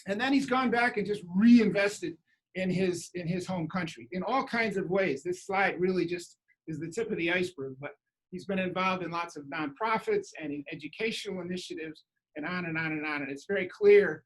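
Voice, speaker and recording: A man hosting an awards ceremony over a video call, speaking informally with halting, hesitant phrasing. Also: high-pitched, rough recording. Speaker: academic department head hosting awards. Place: indoors, virtual event on video call.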